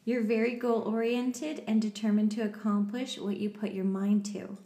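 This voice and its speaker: A woman's voice: medium pitch, flat delivery, moderate pace, dark tone. A woman reading a heartfelt letter aloud in an earnest, reassuring way. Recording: echoey room.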